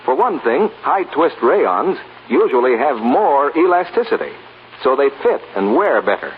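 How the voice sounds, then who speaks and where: Animated American announcer, tinny old radio. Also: male voice, medium pitch, moderate pace. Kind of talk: scripted 1940s radio announcing and advertising. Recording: tinny, noisy 1940s radio recording.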